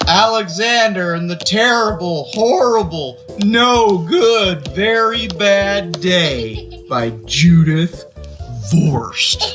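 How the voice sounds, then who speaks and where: A picture book reader with an expressive, conversational delivery, tossing playful comments into the story. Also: medium pitch, very slow, faint music behind. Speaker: read-aloud host. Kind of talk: animated children's picture book read-aloud with asides.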